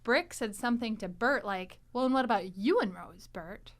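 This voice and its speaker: High-voiced woman chatting animatedly. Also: moderate pace. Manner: animated, chatty, often echoing agreement. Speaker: podcast host. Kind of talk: casual group chat.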